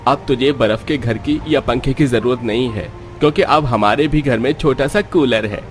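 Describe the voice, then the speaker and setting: A man's voice: mid-range voice, moderate pace, close to an everyday voice. An actor voicing a poor, hardworking, loving father in a cartoon, speaking reassuringly. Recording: music behind the voice.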